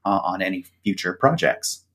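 A man's voice: deep voice, expressive, moderate pace, a bit bright. A man talking as a guest author in a casual interview, hesitant and full of fillers. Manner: hesitant, many fillers and restarts.

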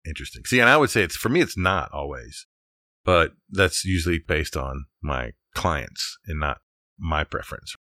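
A man's voice: very deep voice, lively intonation, moderate pace, bright, forceful tone, dry recording, studio quality. A man co-hosting a casual podcast conversation as an architect. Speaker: podcast co-host.

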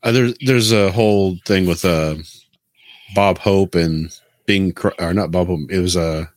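A man's voice: low voice, steady tone, a little slow, no room sound. A man explaining things wryly in casual conversation. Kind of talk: casual chat.

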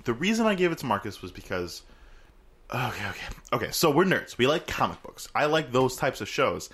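A man's voice: mid-range voice, fairly quick, husky. A man co-hosting a podcast, chatting casually and enthusiastically about TV shows he loves. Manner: enthusiastic, animated, joking. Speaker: podcast co-host. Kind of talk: casual chat.

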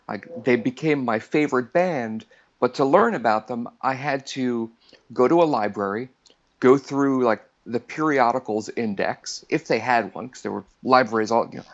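A man's voice: mid-range voice, moderate pace, highs cut off. Rambling self-deprecating man in his forties. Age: 40s. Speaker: returning podcast guest. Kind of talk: casual chat.

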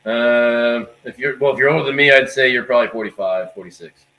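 Bright-voiced forty-year-old guitarist. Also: male voice, mid-range voice, brisk, poor audio. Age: 40s. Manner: easygoing, chatty, laughs often. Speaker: band member, guitarist. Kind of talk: casual interview chat.

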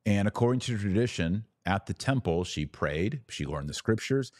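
A man with a low-pitched voice speaks as a podcast host and teacher. His delivery is conversational and earnest, explaining as he goes. The recording is dry and close, with very little room sound. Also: expressive, moderate pace, a little mellow, no room sound. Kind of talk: casual teaching podcast talk.

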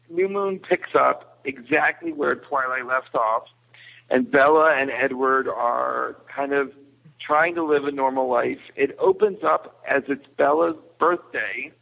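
A man giving a casual film recap as a podcast guest, explaining in a halting way. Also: medium pitch, gravelly. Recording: tinny phone-like line.

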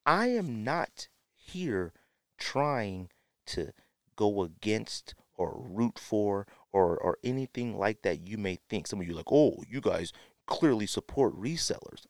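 Middle-aged man, lowish pitch, dry close sound, casual podcast monologue, opinionated, emphatic, repetitive for effect. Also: no echo. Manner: emphatic, repeats phrases for effect. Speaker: podcast host.

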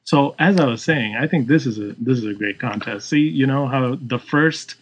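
Husky-voiced joking man. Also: mid-range voice, moderate pace. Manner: joking, laughing, rambling. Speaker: podcast co-host. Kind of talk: casual chat.

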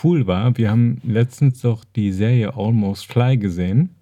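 A man's voice: lowish voice, normal speed, warm tone, no room sound. A man co-hosting a podcast, chatting casually and loosely about a TV series. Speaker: podcast co-host. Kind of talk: casual chat.

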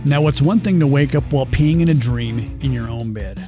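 A man playing a wry, deadpan storyteller in an old-time radio comedy, speaking in a measured way over music. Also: medium pitch, natural voice. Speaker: narrator. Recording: music behind the voice.

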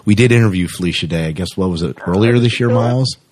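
A man's voice: lowish voice, fast talker, a little mellow. A man hosting a podcast interview in a casual, chatty style.

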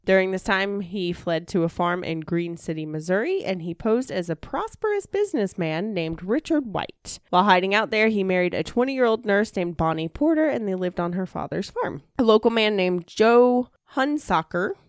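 A woman's voice: mid-range voice, expressive, normal speed, hardly any pauses, no room sound, studio quality. A woman co-hosting a true crime podcast, telling a researched story in a casual, conversational way. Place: a house, recorded indoors.

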